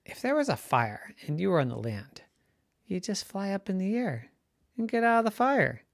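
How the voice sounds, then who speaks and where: A woman's voice: medium pitch, sing-song, normal speed, gravelly, dry recording, clean studio sound, voice pushed up. A woman voicing practical, loving duck parents in a children's story, exclaiming with incredulity. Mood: incredulous.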